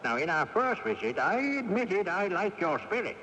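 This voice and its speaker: A man's voice: high voice, big pitch swings, moderate pace, pushed and bright, heavily acted. An actor playing a sly, stubborn old great-grandfather ghost in a theatrical radio mystery drama. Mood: scheming. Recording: off-air 1980s radio tape, reverberant, hissy.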